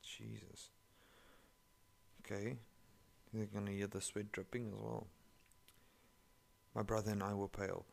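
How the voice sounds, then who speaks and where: Man, English, sarcastic swearing story reader, deep and gravelly. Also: little pitch movement, measured pace, pauses often. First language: not English. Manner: sarcastic, profane running commentary. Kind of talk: reading online stories aloud with commentary.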